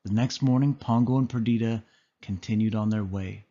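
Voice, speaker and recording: A man reading a children's story aloud as an expressive narrator. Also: fairly low pitch, moderate pace, highs cut off. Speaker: storybook reader.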